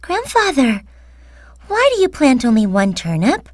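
A woman's voice: high-pitched, huge pitch swings, unhurried, a little mellow, no room sound, clean studio sound, heavily acted. A woman voicing a curious, sweet little granddaughter in a storybook, eager and questioning.

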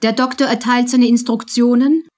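A woman's voice: a little high, fairly even intonation, normal speed, a little mellow. A woman reading scripted narration as the narrator of a radio drama.

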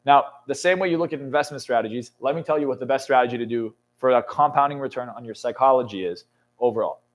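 A man's voice: medium pitch, quick pace. A man in his twenties, a motivational speaker and coach, talking emphatically in a studio interview and swearing often. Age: 20s. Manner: intense, emphatic, swears often, builds to excited peaks. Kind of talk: motivational talk in a podcast interview. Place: indoor studio lounge set.

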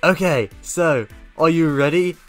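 A man's voice: on the high side, unhurried, a bit bright, soft background music. A man voicing a hot-tempered, whiny game-show host in an amateur web cartoon, given to insults and dramatic outbursts. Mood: sheepish rambling.

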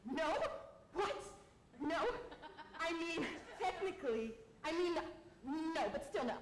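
A woman's voice: very high-pitched, expressive, slow, a crowd in the background, exaggerated voice. A woman playing a self-important talking adventure memoir in a comic sketch, melodramatic and aggrieved. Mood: defensive. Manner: melodramatic, indignant. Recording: echoey room with faint noise.

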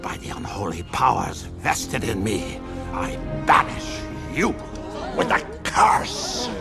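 An actor playing a vengeful mad monk sorcerer in an animated film, with a theatrical, drawn-out, menacing delivery. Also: male voice, on the low side, creaky, music in the background, heavily acted. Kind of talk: animated film villain acting.

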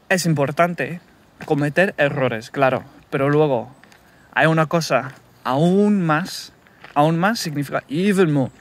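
A man in his thirties from England, his native tongue English, talking to himself in a halting, self-correcting way while walking a wooded path, filming on a phone held at arm's length. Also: on the high side. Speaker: language learner recording a practice podcast. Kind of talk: casual spoken practice monologue. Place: wooded countryside path outdoors.

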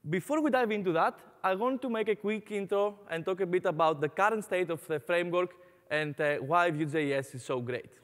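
A man's voice: high-pitched, in a large hall. A young man from Greece with a Greek accent giving a conference talk on stage, upbeat, with frequent "uh" fillers. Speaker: conference speaker. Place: large conference hall on stage.

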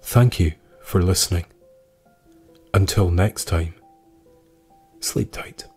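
A man reading scripted historical tales as a podcast narrator, measured and with dramatic pauses. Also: low-pitched, darkish tone, slightly rough, faint music behind.

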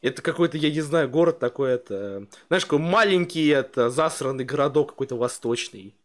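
A man's voice: a little high, expressive, fairly quick. A young man streaming a reaction video from a small home room on a headset, joking crudely and reading out donations. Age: young adult. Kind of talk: casual reaction commentary.

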